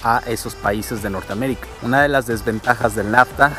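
A man talks to a handheld camera held at arm's length. He walks outdoors and explains things in a steady way. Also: medium pitch, fairly quick. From Mexico. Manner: steady, explanatory, talking while walking. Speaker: vlogger. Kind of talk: walking vlog explaining immigration. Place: outdoors on suburban streets and a transit station. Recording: music playing underneath. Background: music.

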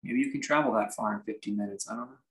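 A man teaching a guided meditation, speaking in measured, halting phrases with reflective pauses. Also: fairly low pitch, fairly bright tone, low-quality recording. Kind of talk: guided meditation, unscripted instruction.

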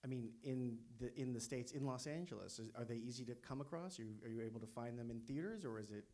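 A man's voice: mid-range voice, fast, mellow. A man moderating a film panel on a theater stage with a handheld mic, asking long questions he rephrases as he goes. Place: theater stage before an audience.

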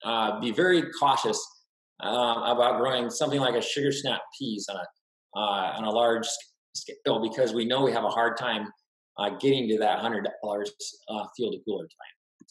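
A man's voice: on the low side, normal speed, a bit bright. A middle-aged man farmer giving a practical slide talk, with plenty of "uh" fillers and restarts. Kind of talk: slide presentation lecture. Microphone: webcam on a video call. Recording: roomy echoey webcam audio.

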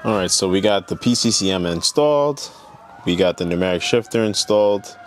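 A young man with a low-pitched voice gives an informal, hands-on tutorial. He explains things in plain, conversational terms for a car DIY vlog. He is on a clip-on mic, with noticeable noise in the background. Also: expressive, fairly quick. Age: young adult. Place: indoor garage, partly inside car. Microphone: clip-on lavalier.

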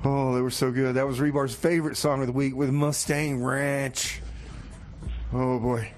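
A man's voice: mid-range voice, level tone, normal speed. Folksy male radio host, faint noise. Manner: folksy, rambling, hesitant repetitions. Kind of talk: casual radio show patter with comedy.

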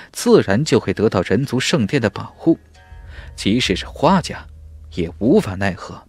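A man's voice: medium pitch, husky. A man narrating a fantasy audiobook in a dramatic, scripted storytelling style.

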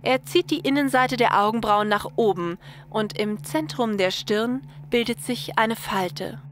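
A woman's voice: fairly high pitch, measured pace, a little hiss or hum. A woman narrator reading a scripted story. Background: faint music.

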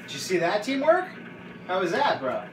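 A man's voice: high-pitched, wildly swooping pitch, moderate pace. A man teasing and cracking jokes as a friend on a home cooking show in a kitchen. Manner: teasing, wisecracking. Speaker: co-host friend. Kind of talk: casual cooking show banter. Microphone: far camera. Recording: roomy, noisy kitchen audio.

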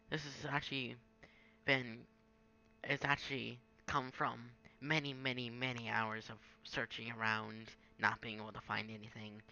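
Creaky, bright-voiced young man, offhand tutorial. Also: medium pitch, lo-fi sound. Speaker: gaming tutorial maker. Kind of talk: casual screen-recorded software tutorial. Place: indoors at a home computer.

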